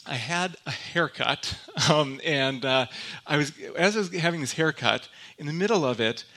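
A young man from Michigan preaches as a guest speaker, and his voice sits a little high for a man. The tone is bright and forceful. His stories drift along loosely, full of "um"s, false starts and easy humor. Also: normal speed. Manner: relaxed and joking, with many fillers and restarts. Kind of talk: sermon told as personal stories.

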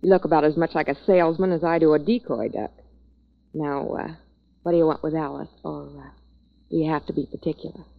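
An actress playing a wary, flirtatious, tough-talking dame in a 1940s radio noir drama, cool and insinuating. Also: female voice, sits low, fairly even intonation, fairly quick, creaky. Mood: flirtatious, wry. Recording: muffled vintage radio recording.

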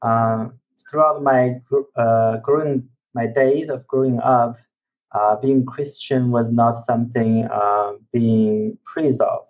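A man from China, a ministry executive, giving an earnest personal testimony on a remote call, often pausing to search for words. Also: mid-range voice, steady tone, darkish tone, rough recording.

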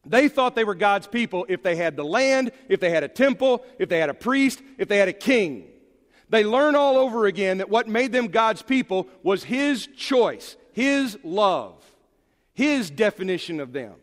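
High-voiced male pastor, lively emphatic preaching, long church echo. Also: moderate pace. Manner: animated, emphatic preaching with sudden drops to quiet. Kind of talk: sermon. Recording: long hall reverb.

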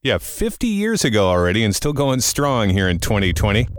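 A man's voice: on the low side, big pitch swings, fairly quick, very noisy. A man hosting a scripted morning-show style podcast in a chatty way, often tossing out rhetorical questions. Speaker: podcast host. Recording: music bed behind the voice. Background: music.

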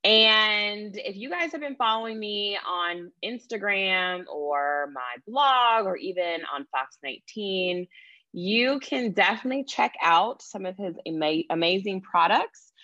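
An upbeat woman hosts a lifestyle interview with eager energy. Her voice is bright and forward. The audio is dull and lo-fi. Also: medium pitch, expressive, a little slow. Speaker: lifestyle segment host. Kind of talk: interview hosting.

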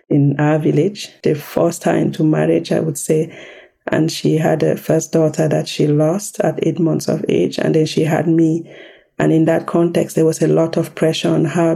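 A woman from Cameroon, a guest in an interview, telling her life story slowly and reflectively with emphasis. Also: low-pitched, gravelly, warm tone, husky.

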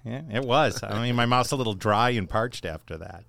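A man hosting a podcast, reading out a guest's introduction in a measured, list-like way, then chatting. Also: mid-range voice, creaky, a bit bright, no echo. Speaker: podcast host.

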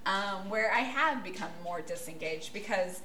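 A woman from the United States, a podcast guest, talking bluntly and loudly, laughing as she goes. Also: medium pitch, expressive, moderate pace, slight background noise. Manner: loud, outspoken, blunt, laughing. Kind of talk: casual podcast chat. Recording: echoey room.

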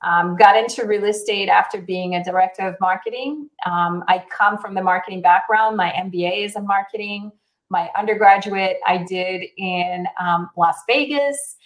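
A woman's voice: mid-range voice, moderate pace, rough recording. A woman in her forties from Israel with a light South African accent, chatting easily as a realtor being interviewed, laughing often and using many fillers. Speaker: realtor and guest. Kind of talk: casual interview answers.

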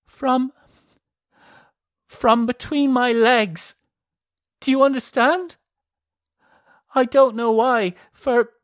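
A woman's voice: a little high, extremely slow, long pauses, dry recording, voice pushed up. A woman voicing a distraught, weeping young girl who pleads in panic. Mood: desperate sobbing.

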